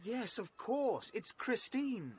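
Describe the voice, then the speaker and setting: An actor playing an earnest, flustered English gentleman, agitated and indignant, in a vintage British radio drama. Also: very high-pitched, very animated, takes it slowly, slight background noise, exaggerated voice. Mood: agitated, distressed.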